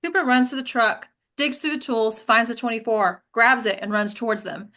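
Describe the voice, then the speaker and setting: Higher-voiced woman hosting a table read. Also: moderate pace, bright, forceful tone. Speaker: host leading an actors' table read. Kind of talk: script reading and group discussion.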